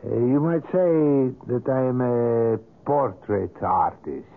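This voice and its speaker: An actor playing a courteous, sly and mysterious portrait sculptor in a 1970s radio drama, speaking formally with polite hesitations. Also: male voice, medium pitch, takes it slowly, vocal fry. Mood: evasive. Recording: thin lo-fi old radio broadcast.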